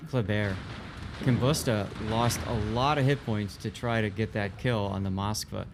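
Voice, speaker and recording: A man's voice: on the low side, fairly even intonation, moderate pace, gravelly, slight background noise. A man co-casting an esports match, commenting on the play in an understated, matter-of-fact way. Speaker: esports co-caster. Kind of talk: live game commentary.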